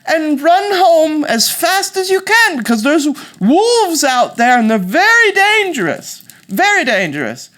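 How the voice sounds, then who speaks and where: A woman voicing a storybook wolf who pretends to be a sick old grandmother, with a British accent and a pleading, drawn-out wail. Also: high voice, sing-song, a bit bright, voice pushed up, heavily acted. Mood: feigned sickly pleading.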